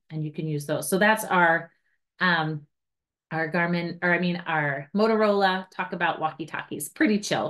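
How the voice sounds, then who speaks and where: A woman's voice: fairly low pitch, a bit bright. A woman giving an informal training demonstration, explaining things step by step in a casual, chatty way. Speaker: trainer of outdoor guides.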